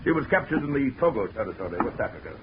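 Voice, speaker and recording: An actor playing a pompous, menacing big-game hunter villain in a 1940s radio drama. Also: male voice, mid-range voice, very animated, rapid-fire, close to an everyday voice. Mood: grandiose. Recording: muffled old radio recording with hiss.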